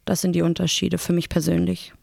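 A woman's voice: on the low side, monotone, fairly quick, vocal fry, a little mellow, dry recording. A thirty-year-old woman from Germany, a native Persian speaker, giving a thoughtful, reflective personal account as an interview guest. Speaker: interview guest, social worker.

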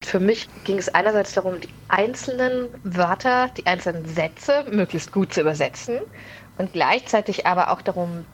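A woman translator explaining her work as an interviewee, with faint noise behind her. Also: on the low side.

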